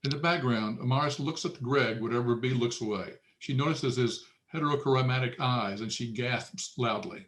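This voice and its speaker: A man serves as narrator at a screenplay table read. He reads out stage directions in a matter-of-fact way, with a medium-pitched voice. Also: moderate pace, hardly any pauses, fairly bright tone.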